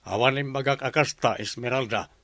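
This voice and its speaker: A gruff rich father, gravelly. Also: mid-range voice, fairly quick, fairly bright tone, no echo, clean studio sound. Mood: scolding. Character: strict wealthy father Romeo.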